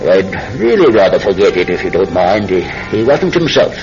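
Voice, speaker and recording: An actor playing an evasive, arrogant villainous doctor in a melodramatic radio drama. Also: male voice, deep voice, very animated, normal speed, darkish tone. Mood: nervous, evasive. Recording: dull radio sound with music.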